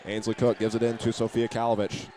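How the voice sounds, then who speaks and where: A man with a lowish, fairly flat voice calls a live high school basketball game. He narrates each play methodically as the announcer. Clear gym noise and bouncing balls sit behind him. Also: fast talker.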